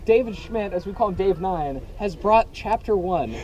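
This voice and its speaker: A man's voice: high voice, expressive, moderate pace, heavy background noise. A man hosting an outdoor event, introducing a guest with laughter and stumbles. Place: outdoors at a medieval combat event. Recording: loud crowd outdoors.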